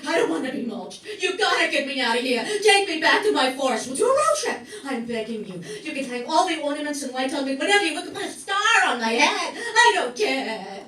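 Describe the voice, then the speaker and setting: A woman acts out a talking Christmas tree for a comic radio play, wry, dramatic and fond of guilt trips. The voice is high and swoops widely. It is picked up at a distance in an echoey room. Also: very animated, fairly quick, few pauses, sharp tone, heavily acted. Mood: anxious, pleading. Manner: theatrical, guilt-tripping, wry. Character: talking fir Christmas tree, dramatic, kvetching, proudly festive. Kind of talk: comic radio play acting before an audience. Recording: roomy stage sound, distant microphone.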